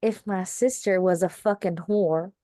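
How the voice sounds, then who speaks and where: A woman's voice: mid-range voice, fairly even intonation, unhurried, warm tone, natural voice. A woman playing a catty, snide young Southern belle beauty queen at a script table read. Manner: snide, two-faced.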